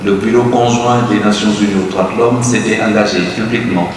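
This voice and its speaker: A man's voice: steady tone, brisk. A man giving a formal, declamatory speech at an event. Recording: distant in a reverberant hall, rumbling noise.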